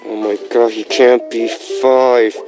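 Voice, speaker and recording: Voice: medium pitch, flat delivery, slow, over music, voice pushed down, poor audio. Someone playing a flustered, incredulous reluctant babysitter. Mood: bewildered, pleading. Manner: dramatic, incredulous, pleading. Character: Sam, a flustered, put-upon young relative left babysitting.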